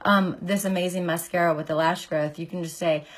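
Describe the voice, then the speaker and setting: A woman in her forties, a makeup artist, chatting through a casual makeup tutorial on a phone camera, her voice deeper as she recovers from illness. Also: fairly even intonation, a little fast. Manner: chatty, filler-heavy, greets viewers by name. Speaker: makeup artist and beauty seller. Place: small indoor room. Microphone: phone camera at arm's length.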